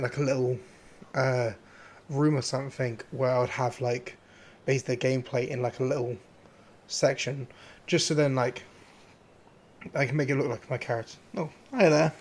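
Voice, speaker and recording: A hoarse man streaming a game, chatting casually and rambling with many fillers and restarts. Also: medium pitch. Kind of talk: casual gameplay commentary.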